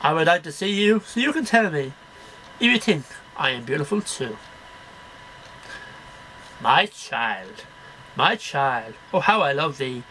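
A man's voice: a little high, sing-song, a little slow, long pauses, pushed and bright, slight background noise, poor audio. A middle-aged man reading poems aloud in a declamatory, sing-song way, one line at a time. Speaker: amateur poetry reader. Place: small cluttered bedroom. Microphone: webcam at about arm's length.

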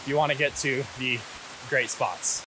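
A man's voice: medium pitch, steady tone. A man in his twenties with an American accent, talking casually as a hiking and photography guide outdoors on an action camera. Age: 20s. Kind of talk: casual vlog explanation. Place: outdoors in a canyon beside rushing water. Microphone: action camera at arm's length. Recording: hiss and loud rushing-water noise. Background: rushing water, hiss.

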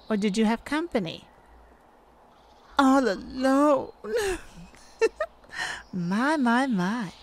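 A woman's voice: medium pitch, a little hiss or hum. A woman voicing a wicked, taunting forest nymph in a theatrical ASMR roleplay, teasing and menacing, drawing out her words. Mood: gleeful mocking.

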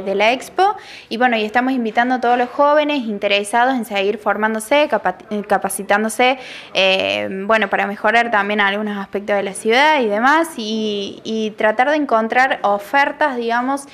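A woman's voice: fairly high pitch, normal speed, a bit bright. Young woman, earnest interview answers. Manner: earnest, with frequent fillers and restarts. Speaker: youth program coordinator. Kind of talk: news interview. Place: indoor office room. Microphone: handheld reporter microphone.

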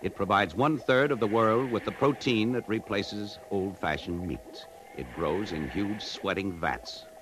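A man's voice: lowish voice, unhurried, hardly any pauses, close to an everyday voice. An American man acting a sardonic, indignant kidnapped adman in a 1950s radio drama. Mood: grim, narrating. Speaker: lead actor and first-person narrator. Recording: noisy vintage 1950s radio recording.

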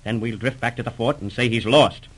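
A cold-blooded schemer from a 1930s radio play, acted by a man. He talks in slangy, bullying tough-guy style, at a middling male pitch. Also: fairly quick, slightly rough, natural voice, poor audio. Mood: smug.

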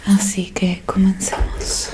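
A young woman whispering softly and breathily in a soothing ASMR roleplay, close to the microphone, repeating words. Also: mid-range voice, monotone, slow pace. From Argentina. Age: young adult. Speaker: ASMR creator. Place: small dim room indoors.

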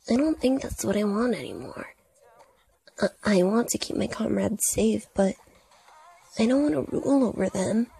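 A woman voicing a reserved, dutiful young male villain heir in a comic dub, speaking softly, earnestly and haltingly. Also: medium pitch, gravelly, husky, heavily acted, low-quality recording.

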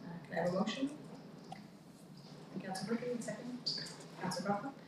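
A woman with a Canadian accent chairing a town council meeting, reading motions and calling votes in a brisk, procedural way. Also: mid-range voice. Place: large council chamber. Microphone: far room mic. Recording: echoey room from far off, background noise.

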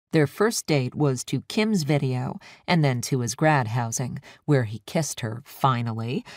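A woman's voice: rumbling low, no echo. Woman narrating an audiobook, dry and close. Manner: even, measured storytelling. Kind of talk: scripted narration. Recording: dry studio audiobook recording.

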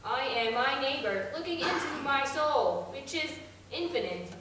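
A serene oracle acted by a woman declaims solemnly in a high voice. The words come as a deliberate incantation in a very echoey theater, picked up from far off. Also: animated, background noise. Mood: solemn, mystical. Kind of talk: stage monologue. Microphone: far camera.

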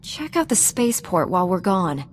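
A woman playing a composed, resolute knight in a video game, serious, measured and direct. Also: lowish voice, big pitch swings, a little hiss or hum. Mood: composed, businesslike.

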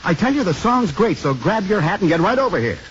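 High-pitched, pushy song plugger. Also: male voice, sing-song, fast talker. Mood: eager. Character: pushy, fast-talking male song plugger. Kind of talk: 1950s radio acting. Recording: muffled, noisy 1950s radio recording.

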